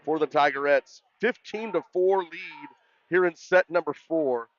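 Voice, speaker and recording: A man with a loud voice doing live play-by-play volleyball commentary in an excitable, folksy way. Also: fairly high pitch, takes it slowly, lo-fi sound, a crowd in the background. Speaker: sports play-by-play announcer.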